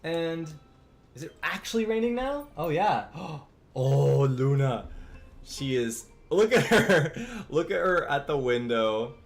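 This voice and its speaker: A man's voice: fairly high pitch, lively intonation, takes it slowly. A man in his twenties chatting casually on a live art stream, rambling with many fillers and restarts. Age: 20s. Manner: rambling, frequent fillers and restarts, chuckles often. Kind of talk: casual live-stream chat while drawing. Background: faint background music.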